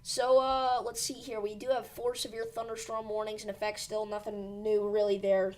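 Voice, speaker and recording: A woman's voice: mid-range voice, a little mellow. Woman with a fading voice, live storm commentary. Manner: urgent but reassuring, rambling with fillers. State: losing her voice. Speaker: weather livestream host.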